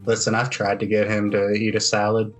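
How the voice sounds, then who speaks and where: A man's voice: on the low side, completely flat delivery, a little fast, soft background music. A man casually narrating a tabletop role-playing game as game master and host.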